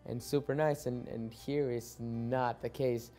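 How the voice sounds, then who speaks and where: Mellow-voiced young man from Mexico. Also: medium pitch, fairly even intonation, unhurried, faint music behind. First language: Spanish. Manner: reflective, repeats words as he restarts. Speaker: soccer player. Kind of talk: documentary interview.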